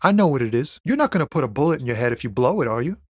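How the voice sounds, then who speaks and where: A man's voice: mid-range voice, big pitch swings, racing, husky, dry recording, studio quality. A man voicing a laid-back, soulful lorry driver in a video game, loyal and reluctant, talking in a casual, hesitant, earnest way with streetwise slang. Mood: pleading, troubled. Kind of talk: conversational video game character dialogue.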